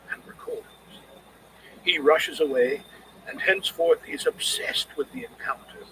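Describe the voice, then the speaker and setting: A man reading scripted literary criticism as an audiobook narrator, in a measured, expressive way. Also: on the high side, background noise.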